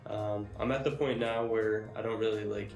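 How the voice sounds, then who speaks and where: A man's voice: fairly low pitch, steady tone, normal speed, gravelly, a little mellow. A young man from the United States, a missionary, answering interview questions in an easygoing way with lots of "um" and "like". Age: young adult. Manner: easygoing, fillers like um and like. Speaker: missionary guest. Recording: echoey room with music behind.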